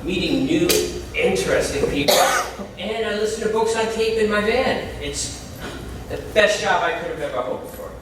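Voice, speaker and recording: An actor in a live stage play, playing an earnest, halting younger ex-convict, heard from afar in an echoey room. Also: male voice, high voice, fairly bright tone. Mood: proud, halting. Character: earnest, eager younger ex-convict, candy-machine businessman. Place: indoor stage, recorded from afar. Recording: echoey distant stage recording with noise.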